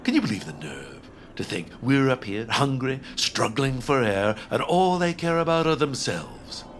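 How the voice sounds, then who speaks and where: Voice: mid-range voice, very animated, moderate pace, background noise, lightly acted. An actor playing a snooty sarcastic baron. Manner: pompous, sarcastic. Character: haughty baron, snobbish and sarcastic.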